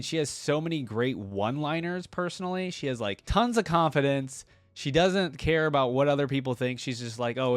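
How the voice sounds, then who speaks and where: A man's voice: medium pitch, no room sound. A young man giving casual, rambling, enthusiastic reaction commentary as a video host.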